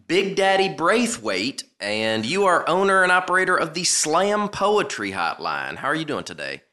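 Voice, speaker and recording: Upbeat male podcast host for an improv comedy bit; bright and animated. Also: on the high side, normal speed.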